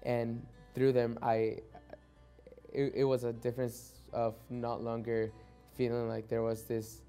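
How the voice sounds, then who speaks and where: A man's voice: mid-range voice, completely flat delivery, measured pace, mellow, clear, resonant tone, soft background music. A young man from Mexico whose first language is Spanish, a soccer player speaking reflectively in a documentary interview and often repeating words as he restarts.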